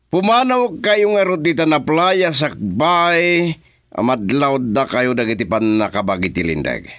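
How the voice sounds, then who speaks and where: A man's voice: mid-range voice, expressive, unhurried, fairly bright tone, no room sound, studio quality. An actor playing a bossy, impatient man of power in a radio drama, with an Ilocano Filipino accent. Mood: commanding.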